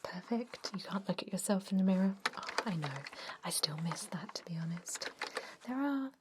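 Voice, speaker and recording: A woman whispering an ASMR roleplay as a gentle, reassuring doctor, breathy and mostly unvoiced, giving step-by-step instructions. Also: medium pitch, expressive, normal speed, background noise. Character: calm, reassuring female vampire doctor examining a patient.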